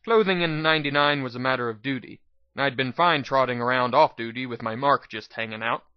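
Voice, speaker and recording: A man narrates a first-person story as a dramatic reading. His voice has a middle pitch, and the recording is very dry and slightly dull. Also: brisk, no echo. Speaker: narrator, reader.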